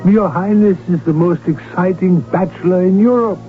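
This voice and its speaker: An actor playing an earnest, urbane court doctor in a radio drama, speaking formally and with courtly polish. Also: male voice, high-pitched, normal speed, lightly acted. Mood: urbane, coaxing. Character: earnest, urbane royal physician and loyal confidant. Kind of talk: 1970s radio drama acting. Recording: tinny narrow old radio broadcast, music behind.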